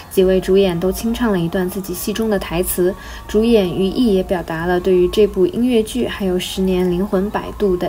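A woman's voice: mid-range voice, background noise. Upbeat young woman narrating a travel vlog. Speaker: travel vlogger. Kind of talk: scripted voice-over narration. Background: background music.

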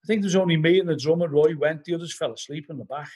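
A middle-aged man with a Liverpool accent, his voice somewhat husky and pitched a little above most men's. He rambles through his anecdotes, scattering "you know" throughout. He is talking over a laptop webcam from his living room at home. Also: fast, a little mellow. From Liverpool, England. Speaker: podcast guest, band vocalist. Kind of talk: casual storytelling interview. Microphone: laptop webcam on a video call.

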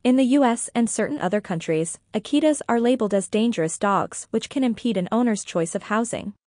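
A woman reading a scripted educational podcast narration in a measured, formal manner. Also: a little high, expressive, a little mellow, no room sound, studio quality.

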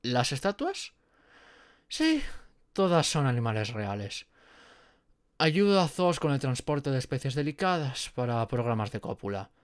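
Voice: mid-range voice, sing-song, a little slow, pauses often, smooth, dry recording, clean studio sound. A performer with an accent from Spain voicing a nervous, apologetic, friendly gorgon in a roleplay audio drama, hesitant and rambling as if talking to one listener. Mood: sheepish, explaining. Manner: hesitant, rambling, muttering to self.

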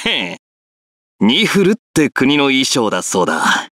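Cocky, hugely animated warrior lord. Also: male voice, medium pitch, fairly quick, no echo, clean studio sound. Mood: cocky, casual. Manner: rough, casual, confident delivery. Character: rough, cocky young male warrior lord, brash and friendly. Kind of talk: Japanese video game character voice clips.

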